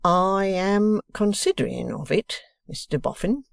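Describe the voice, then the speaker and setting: Brief: a smug, ingratiating man with a slow, courteous delivery, dry close sound, put on by a reader. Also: medium pitch, expressive, gravelly, no echo, pitched above the performer's own voice. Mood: obsequious. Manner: slow, polite, sir-peppered. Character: deferential, self-satisfied man with a wooden leg. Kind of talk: audiobook character reading.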